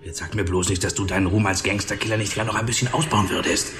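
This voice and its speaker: An actor playing a tired, wired police detective in a hardboiled radio drama, emphatic, pushy and colloquial. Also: male voice, lowish voice, steady tone, quick pace, lightly acted. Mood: pushy, persuasive.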